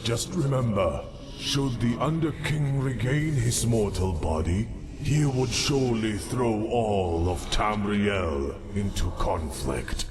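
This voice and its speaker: Casting: cold game schemer, low voice with very animated swoops, formal and deliberate. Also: male voice, noisy background. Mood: grave, confiding. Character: cold, scheming male mystery figure granting dark errands. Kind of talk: scripted video game dialogue. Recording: game music behind the voice. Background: music.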